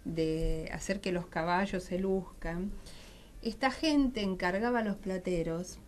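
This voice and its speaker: A woman's voice: fairly low pitch, a little slow, darkish tone. A woman with an Argentine Rioplatense accent, explaining history and craft conversationally as an enthusiastic storyteller in a radio interview. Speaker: radio show guest. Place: radio studio.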